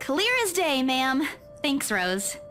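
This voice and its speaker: High, lively young woman. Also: takes it slowly, faint music behind, full character voice. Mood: reassuring. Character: plucky young female realtor brushing off a minor injury. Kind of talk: visual novel voice acting.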